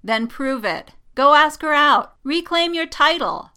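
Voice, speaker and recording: A woman's voice: medium pitch, normal speed, pushed and bright. A woman reading the part of a teasing, gleeful male pilot in a story, sardonic and needling. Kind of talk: dramatic fan fiction reading.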